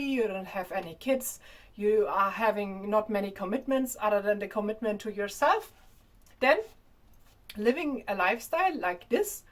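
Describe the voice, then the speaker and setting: German lady vlogging, thick german accent, slightly high voice, chatty, keeps restarting her sentences. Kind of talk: casual talking-to-camera vlog.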